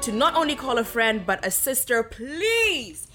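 A woman with a fairly high voice hosts a podcast. She is playful and effusive, with a sassy, slangy delivery. Faint music can sit behind her. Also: sing-song, a little slow, sharp tone. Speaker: podcast host. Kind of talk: podcast interview with slangy, casual chat.

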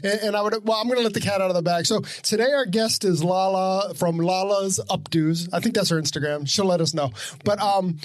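High-pitched guy hosting a podcast, laughs a lot, restarts his sentences, dry close sound. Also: brisk, dry recording. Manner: laughing, rambling with many restarts. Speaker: podcast co-host. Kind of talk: casual chat.

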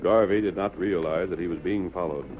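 A male announcer narrates a vintage radio drama in a low voice. The sound is thin and tinny, with music behind him. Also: fairly quick.

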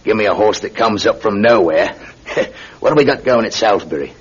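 An actor playing a blunt, eager working-class British landlord with a betting habit in a classic radio drama, speaking colloquially. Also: male voice, on the low side, expressive, fairly quick. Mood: excited, delighted. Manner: colloquial, uses "me" for "my". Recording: muffled radio recording with clear noise.

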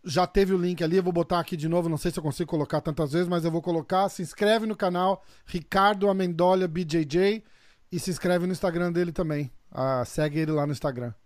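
Um homem do Brasil, apresentador de um canal, conversa de forma animada e cheia de gírias com o convidado. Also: male voice, high voice, fairly even intonation, fast, darkish tone, no echo, clean studio sound. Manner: enthusiastic, slangy. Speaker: host. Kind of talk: casual interview chat.

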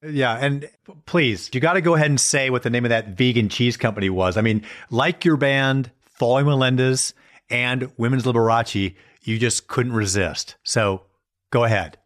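A man's voice: mid-range voice, moderate pace, fairly bright tone. A middle-aged American man co-hosting a podcast with casual banter and dry, teasing sarcasm. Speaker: podcast co-host.

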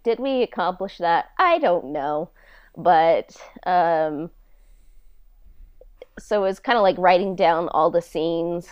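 A woman's voice: fairly low pitch, clear, resonant tone, no room sound. A woman chatting casually as a podcast guest about her own work, candid and self-deprecating, on slightly dull audio. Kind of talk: casual chat.

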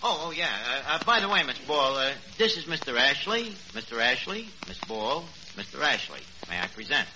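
A man's voice: on the high side, sing-song, normal speed, few pauses, bright, forceful tone, exaggerated voice. An actor playing a cocky, uneducated Brooklyn tavern manager in a 1940s radio comedy, wisecracking, hesitant and mangling his words. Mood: chummy, bumbling. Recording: noisy, muffled old radio recording.

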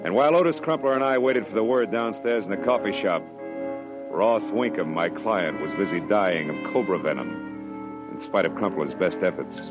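A male actor as a hard-boiled American private eye in a 1940s radio drama. His voice sits low and he talks in wry, clipped phrases. The vintage recording is dull, with prominent musical underscore. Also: normal speed, over music, close to an everyday voice. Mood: dry, matter-of-fact. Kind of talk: 1940s radio acting, first-person detective narration.

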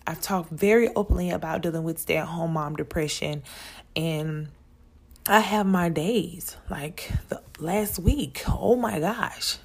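A woman's voice: fairly low pitch, unhurried, darkish tone, slightly rough. A woman of thirty hosting a podcast, talking in a chatty, rambling way straight to other moms. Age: 30s. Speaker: podcast host. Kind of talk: casual solo podcast talk.

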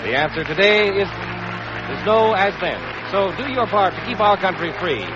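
A man announcing on a 1940s radio broadcast, formal and measured. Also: high-pitched, lively intonation. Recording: dull 1940s radio broadcast with loud music and crowd.

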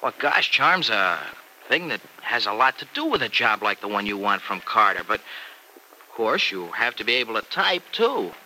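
A very bright, forceful voice that swings freely in pitch: an earnest young American farm fellow in an old radio drama. He is folksy, slangy and teasing. The old recording carries a faint hiss. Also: very animated, normal speed, heavily acted, rough recording. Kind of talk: mid-century American radio drama acting.

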